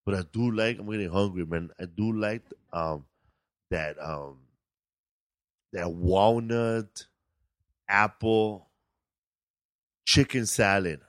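A man's voice: lowish voice, takes it slowly, pauses often, no room sound, clean studio sound. A man hosting a casual podcast, chatting in a laid-back, slangy, repetitive way. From California. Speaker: podcast host. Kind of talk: casual comedic podcast chat.

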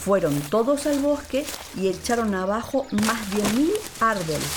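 Slow, animated woman storyteller. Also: on the low side. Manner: expressive, deliberate, theatrical. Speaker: actress, storyteller. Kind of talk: dramatised narration of a children's story.

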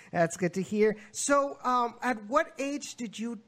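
A man's voice: very high-pitched, expressive, slow, a bit bright. A man hosting a soccer show, interviewing a guest in a conversational, somewhat hesitant and wordy way.

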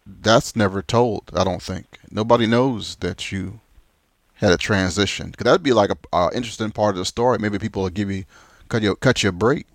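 Lowish voiced guy hosting a show, chatty and animated, dry close sound. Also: brisk, no room sound. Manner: friendly, animated, jumps in with questions. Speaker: podcast host. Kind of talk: casual interview chat.

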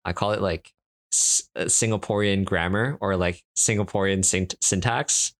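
A man's voice: low-pitched, steady tone, moderate pace, a bit bright. A man with a Canadian English accent in casual podcast chat, easygoing and teasing, with frequent restarts. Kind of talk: casual conversation.

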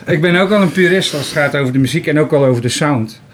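A man, an organist, talking casually in an interview in a hesitant, halting way. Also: on the high side, a little hiss or hum. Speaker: Hammond organist.

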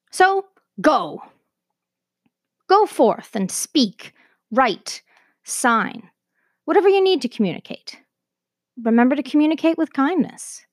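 High, lively Canadian woman. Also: dry recording, clean studio sound. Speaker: host of an educational language podcast. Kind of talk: scripted educational narration.

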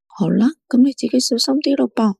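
An actress playing a fussy, nagging best friend in a Cantonese radio drama, with a Hong Kong accent. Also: female voice, on the high side, brisk, creaky, warm tone, breathy edge, no echo, studio quality, exaggerated voice. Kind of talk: radio drama acting, phone conversation.

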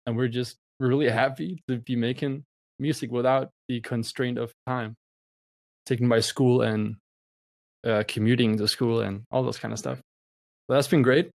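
A young man from Denmark, a musician guest joining by remote call, chats upbeat and laughs easily. His voice is mid-pitched and sounds dry and close. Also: steady tone, moderate pace, gravelly, no echo. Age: young adult. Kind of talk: casual interview chat.

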